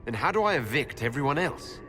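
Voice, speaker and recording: An actor playing a steady, earnest male elf hero in a video game, measured and questioning. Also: medium pitch, a little hiss or hum. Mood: questioning, guarded. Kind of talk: video game character acting.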